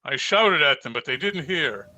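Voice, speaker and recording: An actor playing a shaken country doctor, urgent and halting, in old-time radio drama style. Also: male voice, fairly high pitch, lively intonation, background noise, lightly acted.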